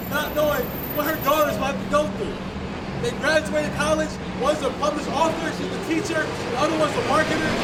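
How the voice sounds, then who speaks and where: A man with a very high voice speaks earnestly and casually, pausing on fillers. He is recorded from a distance on a city street. Loud crowd and traffic noise surround him. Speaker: protest speaker. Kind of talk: personal speech at a street press conference.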